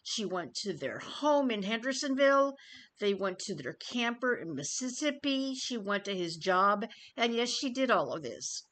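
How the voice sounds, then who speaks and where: A woman YouTube commentator giving an informal news update to viewers, phrasing things deliberately and emphatically with pauses. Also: mid-range voice, very animated, fairly bright tone.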